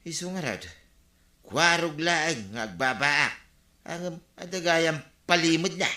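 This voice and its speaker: Jealous grumbly village neighbor, ilocano accent, piercing bright voice, a bit high. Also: male voice, measured pace, echoey room. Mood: envious. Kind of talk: Ilocano comedy radio drama acting.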